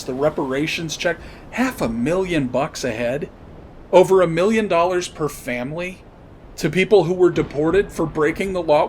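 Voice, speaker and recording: A man hosting a political commentary podcast, talking off the cuff in a blunt, profane, emphatic way with halting, repeated phrases. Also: fairly high pitch, a little hiss or hum. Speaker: podcast host. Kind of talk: unscripted political commentary rant.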